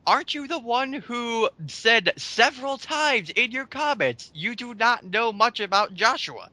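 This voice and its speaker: Bright, high-pitched man, sarcastic and blunt. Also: lively intonation, a little slow. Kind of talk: casual mocking commentary on online comments.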